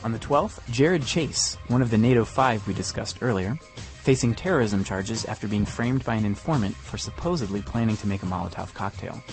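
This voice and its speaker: A man's voice: lowish voice, a little fast, faint music behind. A man co-hosting a podcast, reading scripted news. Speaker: podcast co-host.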